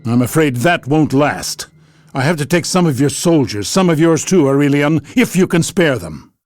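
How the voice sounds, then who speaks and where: An actor playing a stern, weary marshal of a fantasy empire, grim and commanding in a game cutscene. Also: male voice, on the high side, expressive, moderate pace, a little mellow, dry recording, clean studio sound, natural voice.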